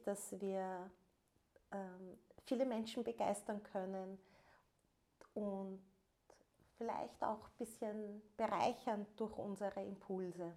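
A woman's voice: medium pitch, mellow. A young woman, co-hosting a podcast in casual chat, speaking thoughtfully with frequent hesitations. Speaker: podcast co-host.

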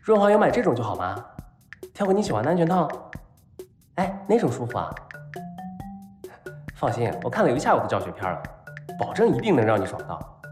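A man's voice: mid-range voice, sing-song, brisk, long pauses, a little mellow, soft background music, close to an everyday voice. An actor playing a lovestruck, spoiled young rich man in an audio drama, clingy and naive, with an eager, whiny, childishly earnest way of talking. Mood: eager, chatty. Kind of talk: Chinese audio drama acting.

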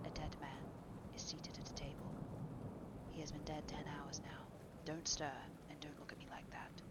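A woman voicing a desperate young man who whispers an urgent dark confession. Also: low voice, background noise.

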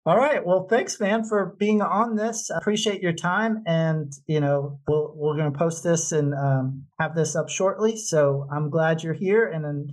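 A man's voice: fairly high pitch, unhurried, hardly any pauses, poor audio. A man with an American accent interviewing a guest casually as podcast host, informal, agreeable and encouraging.

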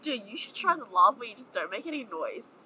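A young woman co-hosting a podcast in casual chat, talking with lots of "like" and animated retelling. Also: a little high, fairly quick, slightly rough, rough recording. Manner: chatty, heavy use of "like", animated storytelling. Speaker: podcast co-host.

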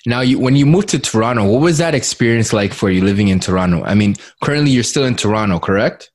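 A man's voice: fairly low pitch, lively intonation, fast talker. A man hosting an interview podcast, asking long questions that loop back on themselves and repeat words as he goes. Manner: rambling, repeats words while framing questions. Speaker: podcast host.

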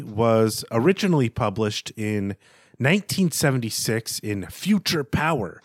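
A man's voice: mid-range voice, dry recording, studio quality. A man co-hosting a literary podcast, chatting casually and with enthusiasm for his subject. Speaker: podcast co-host.

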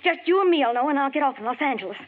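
A desperate young woman stuck at a desert station, pleading in a high, swinging voice. The line sounds tinny, like an old radio broadcast. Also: lively intonation, very fast. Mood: frantic. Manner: pleading, frantic. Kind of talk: 1940s radio acting.

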